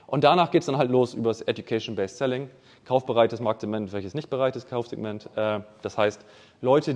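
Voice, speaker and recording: A man giving a live keynote on marketing funnels, delivering quick, punchy points full of business jargon. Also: mid-range voice, a little mellow. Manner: fast, punchy business jargon mixed with English terms. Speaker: keynote speaker. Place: event hall with a live audience. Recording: long hall reverb.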